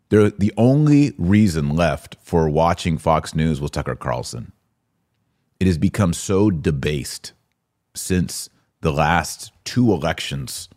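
A man's voice: low voice, darkish tone. An American man hosting a podcast, giving news commentary in an informal, conversational and opinionated way. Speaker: podcast host. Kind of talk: live news commentary to an audience.

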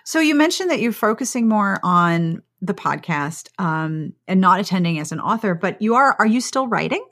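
A woman's voice: mid-range voice, big pitch swings, normal speed, few pauses, fairly bright tone. A woman hosting a podcast interview in casual chat, with a playful, informal way of talking. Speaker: podcast host.

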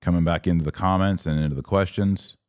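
A man's voice: low voice, steady tone, fast, darkish tone, no room sound, clean studio sound. A man hosting a live religious commentary podcast, answering comments in an exhortative, emphatic way and repeating key phrases.

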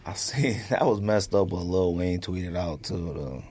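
A man co-hosting a podcast in casual sports banter, slangy and animated, repeating phrases to make his point. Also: low voice, measured pace, husky. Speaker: podcast co-host.

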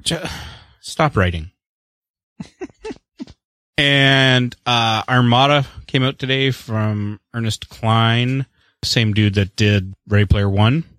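A man's voice: medium pitch, slow, a bit bright, clear, resonant tone, no room sound. A man co-hosting a podcast, chatting casually in a rambling, wry way about movies and books. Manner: rambling, wry, self-deprecating. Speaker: podcast co-host. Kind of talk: casual chat.

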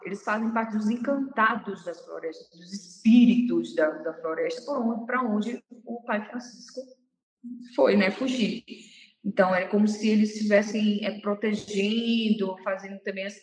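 A woman, a researcher of folk festivals, explaining at length as an interview guest with many pauses and restarts. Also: medium pitch, a bit bright, roomy sound, a little hiss or hum, poor audio. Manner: hesitant, many fillers and restarts. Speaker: researcher of Bumba Meu Boi, interview guest.

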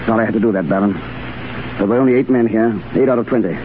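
An actor playing a steely, fatalistic Foreign Legion sergeant in a 1930s radio drama, cool and commanding, with clipped speech. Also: male voice, fairly low pitch, fast talker, narrow, tinny audio, natural voice. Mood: stern, controlled. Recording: thin, hissy 1930s radio recording.

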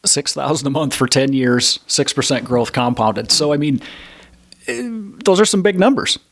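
A man in a mid-range voice speaking as a financial advisor guest on a podcast. He is blunt and plain-spoken, often restarting his phrases, and slows down to drive important points home. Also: medium pitch, animated, fairly bright tone. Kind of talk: conversational interview answers.

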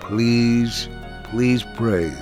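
A man reading a first-person religious testimony as a scripted dramatic narration, in a measured, earnest way. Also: medium pitch, over music.

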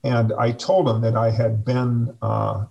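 A middle-aged man speaking slowly and reflectively as a guest on a video call, with frequent fillers. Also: medium pitch, level tone, creaky, darkish tone, muffled recording. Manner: slow, measured, hesitant with many fillers. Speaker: interview guest, district superintendent. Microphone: video call webcam.

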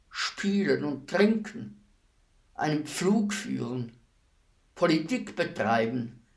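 An actor playing a weary old executioner in a radio play, speaking in a deliberate, ruminative manner with heavy pauses. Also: male voice, mid-range voice, creaky, heavily acted. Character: weary old male executioner, fifty years imprisoned, brooding, humble.

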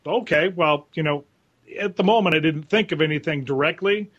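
A man's voice: on the high side, brisk, fairly bright tone, dull audio. A man, a voice actor guest, casually telling an anecdote on a panel.

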